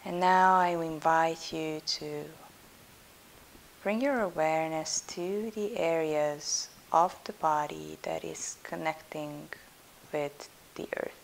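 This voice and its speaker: A woman leading a guided relaxation meditation as a yoga instructor, soothing and soft, breathy at phrase ends, with long pauses between phrases. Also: deep voice, rough recording. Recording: steady background hiss.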